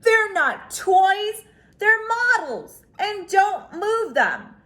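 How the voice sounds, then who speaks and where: A woman voicing a defensive, huffy young boy bear cub who protests loudly in a children's storybook. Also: extremely high pitch, big pitch swings, drawn-out words, pitched above the performer's own voice, exaggerated voice. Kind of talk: children's storybook character reading.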